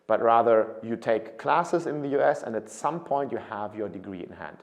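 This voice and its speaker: A man's voice: medium pitch, expressive, moderate pace. A man in his thirties with a German accent, talking to camera as a study abroad consultant, earnest and prone to restarts. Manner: earnest, with frequent restarts and repeated words.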